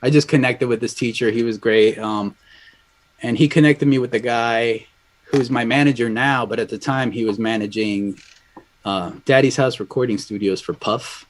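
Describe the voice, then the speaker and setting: A man in his thirties from Colombia, a guest in a casual interview, speaking in a relaxed, conversational way with lots of fillers. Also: medium pitch, moderate pace. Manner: relaxed and conversational, frequent "like" and "dude". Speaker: audio engineer guest.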